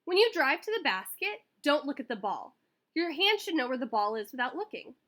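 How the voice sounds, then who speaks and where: A woman's voice: high voice, big pitch swings, brisk, a bit bright, pitched above the performer's own voice. Woman reading as a punchy, teasing big brother. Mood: coaching, encouraging. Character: teasing but encouraging older brother, a boy.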